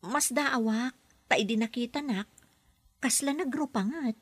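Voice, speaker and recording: A woman's voice: a little high, unhurried, no room sound. An actress playing a young woman working as a nanny abroad, firm and defiant toward her boss, in a radio drama. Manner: firm, pushes back politely.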